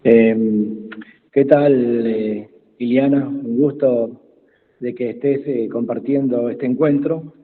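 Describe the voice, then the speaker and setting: Guy on a video call, quechua native, talks real slow, thin phone-ish sound. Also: medium pitch, fairly even intonation. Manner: hesitant, many pauses and fillers. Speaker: invited speaker. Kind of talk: slow reflective talk in an online workshop. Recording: tinny phone-like call audio with long reverb.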